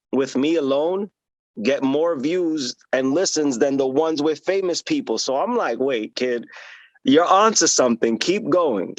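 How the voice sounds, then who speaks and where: A man's voice: mid-range voice, no room sound, poor audio. Candid man in a dry recording. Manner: candid, humble, animated storytelling. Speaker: podcast guest, teacher and author. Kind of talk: casual interview chat.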